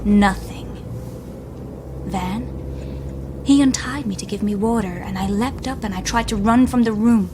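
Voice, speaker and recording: An actress with an Irish accent playing a guilt-ridden, weary and defiant woman, halting and intense, in an audio drama. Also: medium pitch, noisy background, natural voice. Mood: anguished.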